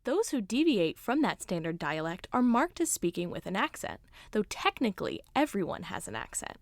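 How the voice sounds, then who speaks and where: A woman reading a podcast's scripted narration in an expressive way. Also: mid-range voice, normal speed, no echo. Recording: narration over music.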